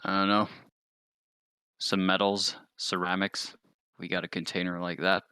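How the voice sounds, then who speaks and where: A man with a very deep but crisp-edged voice talks through a tabletop game as a player. He is casual yet precise and technical, in a close, dry recording. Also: little pitch movement, a little slow, sharp tone, no echo.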